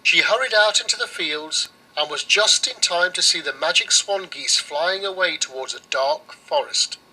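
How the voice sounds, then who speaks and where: A man narrating a children's storybook in a measured, expressive way. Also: on the high side, breathy edge, rough recording.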